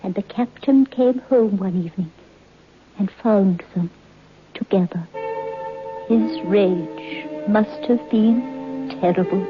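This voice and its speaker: An actress playing a tiny, shrewd, knowing seamstress who tells old gossip as a deliberate, dramatic radio storyteller. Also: female voice, mid-range voice, faint music behind, close to an everyday voice. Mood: grave storytelling. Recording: tinny old radio recording.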